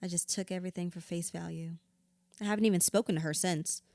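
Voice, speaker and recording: A guarded blunt lady in therapy, lowish voice, dry close sound, audio drama. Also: fairly even intonation, fast talker, vocal fry, a little mellow, dry recording. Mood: subdued, confused. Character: troubled woman in therapy, recovering drinker, guarded and blunt. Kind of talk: naturalistic audio drama acting.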